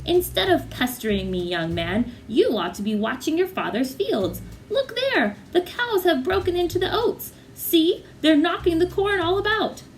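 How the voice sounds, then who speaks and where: A sly, cranky little old leprechaun from a storybook, acted out by a woman reading aloud. He speaks sharply and grumbles with a whiny edge, pitched up and pushed louder. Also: sing-song, moderate pace, few pauses, slight background noise. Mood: sharp, scolding.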